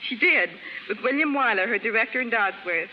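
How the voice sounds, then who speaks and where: A woman's voice: fairly high pitch, expressive, fairly quick. Female beauty expert, precise rehearsed radio testimonial, muffled 1930s sound with long reverb. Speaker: beauty salon manicurist giving testimonial. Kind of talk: scripted 1930s radio advertising interview. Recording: muffled, echoing 1930s radio broadcast, faint hiss.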